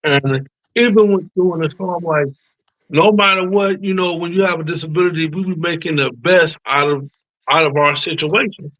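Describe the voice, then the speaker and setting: A man co-hosts a casual chat in an earnest, supportive way, using informal speech. His voice sits a little high for a man. The sound is dry and close. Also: normal speed, a bit bright, no room sound, dull audio. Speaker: co-host. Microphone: video call.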